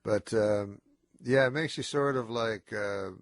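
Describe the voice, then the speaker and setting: A tired, stuffed-up man with a nasal voice, speaking as a guest in a casual radio interview in a slurred, low-energy, deadpan way. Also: measured pace, creaky, no room sound.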